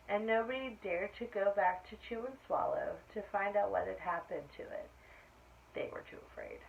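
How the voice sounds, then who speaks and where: A woman reading a picture book aloud to children, explaining things simply in asides to young listeners. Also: fairly low pitch. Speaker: story-time reader for children. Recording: dull lo-fi sound with faint noise.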